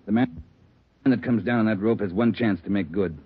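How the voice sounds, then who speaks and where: An actor playing a brisk, commanding police captain in a 1940s radio drama, urgent and no-nonsense. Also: male voice, lowish voice, slightly rough. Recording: thin, tinny old radio recording.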